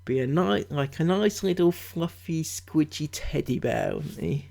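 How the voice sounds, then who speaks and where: A man's voice: a little high, measured pace, creaky, darkish tone. A man with a cold, giving a mocking, chatty commentary as a vlogger, full of asides and fillers. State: has a cold. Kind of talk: sarcastic commentary.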